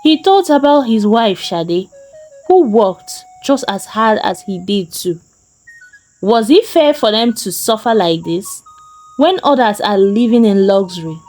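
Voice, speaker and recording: A woman's voice: mid-range voice, expressive, unhurried, a little mellow, low-quality recording. A woman narrating a folktale as a storyteller, in a dramatic scripted style. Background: faint music.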